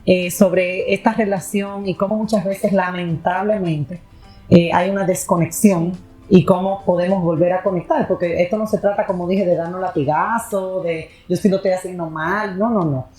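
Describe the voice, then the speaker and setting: A woman's voice: fairly low pitch, fairly even intonation, normal speed, warm tone, soft background music, poor audio. A woman hosting a talk, speaking conversationally and often restarting and correcting herself mid-sentence. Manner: rambling, self-correcting, restarts with fillers. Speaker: host.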